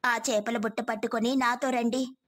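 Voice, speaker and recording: A woman's voice: fairly high pitch, little pitch movement, moderate pace, close to an everyday voice. A woman voicing a kind, reassuring motherly village woman in a moral story. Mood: caring, insistent.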